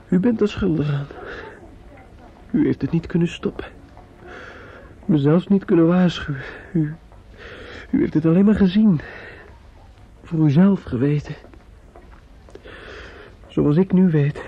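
An actor playing a grieving, bitter and desperate young man in a radio drama, halting and often dropping into whispers. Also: on the high side. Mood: accusing, anguished. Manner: halting, repetitive, drops into whispers. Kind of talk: radio drama monologue acting. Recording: dull, noisy old radio recording.

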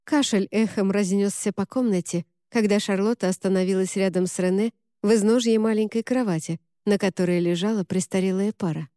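A woman reading a novel aloud as an audiobook narrator, in an even, measured way. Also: medium pitch, no echo, clean studio sound. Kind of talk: scripted narration.

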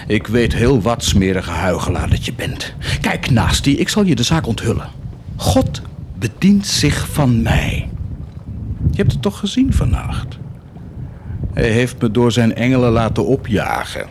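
An actor playing a proud, cruel, blasphemous warlord in a theatrical radio drama, declaiming and mocking. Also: male voice, mid-range voice, big pitch swings, unhurried, noisy background. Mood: bitter, blasphemous. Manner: declamatory, mocking, swinging from hushed to shouted.

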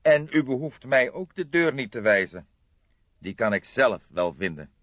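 A man voicing an old male police detective with a cool, dry, measured manner in an audiobook. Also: medium pitch, very animated, fairly bright tone, no echo, muffled recording, voice pushed up. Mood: icy, defiant.

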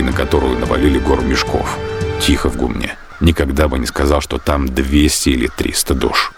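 A man narrating a literary radio play in a measured, grave manner. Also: a bass voice.

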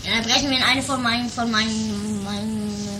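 A woman's voice: fairly high pitch, fairly even intonation, normal speed, noisy background, close to an everyday voice. A woman playing a cheeky, naive male character in an improvised comic audio drama, offhand and a little hesitant. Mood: matter-of-fact.

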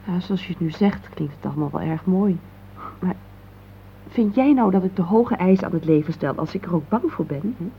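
An actress playing an anxious, doubtful but affectionate middle-aged wife in a radio play, halting as she questions. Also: lowish voice, lively intonation. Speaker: radio drama actor. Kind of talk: intimate radio play dialogue. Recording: muffled phone-like old radio recording, hissy.

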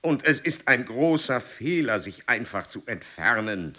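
An actor playing an aged, celebrated explorer baron in a German radio play, pompous and oratorical. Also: male voice, mid-range voice, big pitch swings, unhurried, sharp tone, exaggerated voice. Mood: reproachful.

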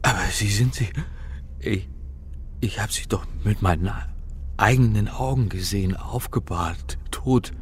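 An actor playing an uneasy doctor turned amateur sleuth who narrates a German radio drama. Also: male voice, lowish voice, unhurried, slight background noise, close to an everyday voice.

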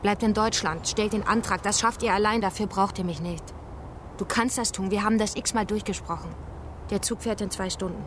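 A woman's voice: mid-range voice, steady tone, normal speed, noisy background, natural voice. An actress in a radio drama playing a brusque, impatient woman with a firm, clipped manner. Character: brusque, impatient woman helper pushing migrants onward.

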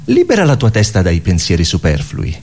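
Man playing bossy quack hypnotist, low register, husky, coaxing commands, absurdist comic theatre. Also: wildly swooping pitch, darkish tone, exaggerated voice. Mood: coaxing, controlling. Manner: slow coaxing commands, short questions. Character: smooth-talking, domineering quack hypnotist.

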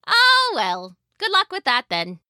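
A woman's voice: high-pitched, wildly swooping pitch, slow, bright, forceful tone, no room sound, clean studio sound, pitched above the performer's own voice, exaggerated voice. A woman voicing a hearty retired female pirate sailor with a salty, exclamatory way of talking, in a storybook narration. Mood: exasperated.

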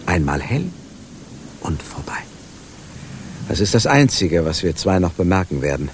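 An actor playing a fervent, morbidly romantic gentleman in a theatrical radio crime drama. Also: low voice, sing-song, unhurried, background noise. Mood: morbid rapture. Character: lovesick, morbidly romantic gentleman of 1917 Paris.

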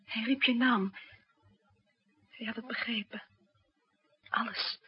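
Breathy, anxious young woman. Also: on the high side, fairly even intonation, full character voice. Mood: hushed, awed. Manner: breathy, intimate, halting, often near whispering. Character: anxious, tender young woman, the spy's confidante. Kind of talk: 1960s radio drama acting. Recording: muffled, dull 1960s radio recording.

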